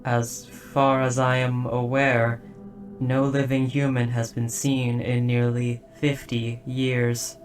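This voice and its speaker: A performer voicing a dutiful robot soldier in an audio drama, speaking in a formal, precise, measured and softly hesitant way. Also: mid-range voice, little pitch movement, distant mic, faint music behind, lightly acted. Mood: calm, formal. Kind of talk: scripted roleplay audio drama.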